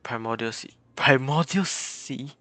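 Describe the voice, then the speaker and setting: A woman streaming a game, giving casual, halting commentary as she thinks aloud. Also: a bass voice, a bit bright, faint music behind.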